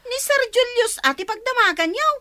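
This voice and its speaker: A woman's voice: very high-pitched, expressive, normal speed, sharp tone, dry recording, heavily acted. A woman with an Ilocano accent playing an outspoken young village woman in a comedy radio drama. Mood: defiant.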